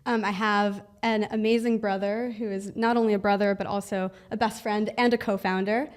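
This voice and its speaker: A young woman from Israel who grew up in Los Angeles, a guest in a studio interview, friendly and quick to laugh, with a lot of fillers. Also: mid-range voice, fairly even intonation. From Israel, raised in Los Angeles. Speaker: guest, app founder. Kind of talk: casual interview chat.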